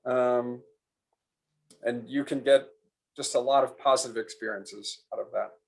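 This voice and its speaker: A man's voice: medium pitch, steady tone, unhurried, creaky, darkish tone, rough recording. Man panelist with a mid-range voice. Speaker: psychology panelist. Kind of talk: webinar panel discussion.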